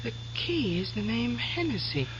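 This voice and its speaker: An inquisitive young wife. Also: female voice, a little high, very animated, unhurried. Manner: eager, chatty, inquisitive. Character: curious young pregnant wife. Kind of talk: 1970s radio drama acting. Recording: muffled old radio recording with hiss.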